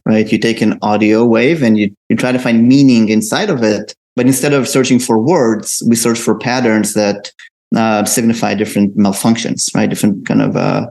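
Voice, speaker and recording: A middle-aged man answering interview questions as a tech founder, conversationally, often pausing on ums and uhs. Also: lowish voice, darkish tone. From Israel. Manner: hesitant pauses with frequent ums and uhs. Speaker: interview guest, tech company co-founder and CEO.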